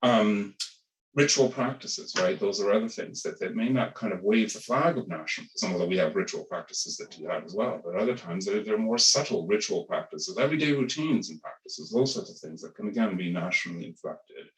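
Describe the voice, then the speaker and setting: Deep-voiced man on a distant, roomy call. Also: animated, a little fast. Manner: thoughtful, hedging, many restarts and repeated words. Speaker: academic panelist. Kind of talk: academic webinar talk. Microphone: video call.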